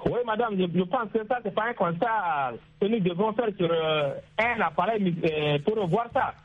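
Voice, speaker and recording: A man with a Chadian accent calling in to a radio show, arguing his political opinion haltingly, repeating words. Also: high-pitched. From Chad. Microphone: phone. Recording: muffled, lo-fi phone line.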